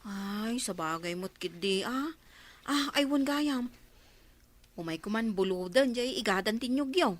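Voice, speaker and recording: A woman's voice: mid-range voice, expressive, unhurried. A woman playing a chatty, nosy village neighbour in a comedy radio drama. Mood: friendly.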